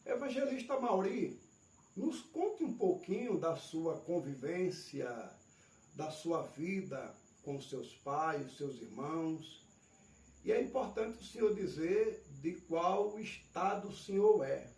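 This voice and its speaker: A pastor with a Northeastern Brazilian accent conducts an interview in a formal, ceremonious manner. His slightly high voice has a gravelly edge. He sounds off-mic in an echoey room with faint noise. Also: lively intonation, slow pace, a little mellow, distant mic. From Pernambuco, Brazil. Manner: ceremonious, polite, addresses guest formally. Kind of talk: formal church-style interview.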